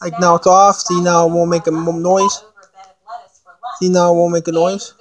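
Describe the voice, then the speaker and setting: A woman giving a casual product demonstration, haltingly thinking aloud as she reads out menu items. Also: on the low side, flat delivery. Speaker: amateur product reviewer.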